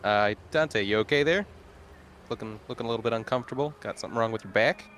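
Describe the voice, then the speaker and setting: A man's voice: mid-range voice, quick pace, a bit bright, a little hiss or hum. A man playing a tabletop roleplaying game, speaking in a conversational, slightly tentative way. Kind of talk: casual in-character roleplay chat.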